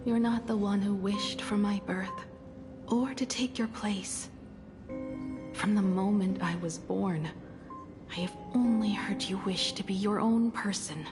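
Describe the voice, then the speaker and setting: Woman as a slow-speaking, steadfast young heroine. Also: mid-range voice, music in the background, close to an everyday voice. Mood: firm, reassuring. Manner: firm, earnest, measured. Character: steadfast, encouraging young female imaginary friend. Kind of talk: dramatic video game voice acting.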